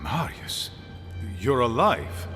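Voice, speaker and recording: An actor playing a grave, thoughtful council elder in a fantasy game, speaking in a formal, deliberate and solemn way. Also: male voice, mid-range voice, wildly swooping pitch, a bit bright, soft background music, full character voice. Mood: grave, measured. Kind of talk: dramatic fantasy game acting. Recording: long hall reverb.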